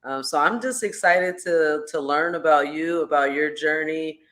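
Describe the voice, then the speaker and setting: Higher-voiced rambling male host. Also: moderate pace, fairly bright tone. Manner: loose and rambling, full of "you know" and "um". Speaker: stream show host. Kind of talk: casual stream interview.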